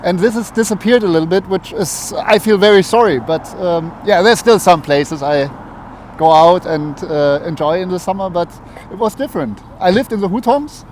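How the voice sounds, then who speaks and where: A man with a German accent answering casually in a street interview, halting as he searches for words. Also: high voice, a little mellow. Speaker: interviewee, foreign resident. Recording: faint outdoor traffic noise. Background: faint urban street noise.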